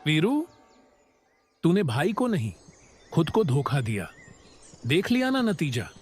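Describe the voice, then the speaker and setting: A man's voice: a little high, very animated, takes it slowly, a little mellow, slightly rough, full character voice. A man playing an honest, forgiving young village farmer in a cartoon moral story, speaking earnestly and righteously. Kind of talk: animated moral story acting.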